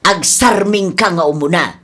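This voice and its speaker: A woman's voice: fairly low pitch, measured pace, fairly bright tone. A woman with an Ilocano accent playing an exasperated, outspoken village woman in a radio drama.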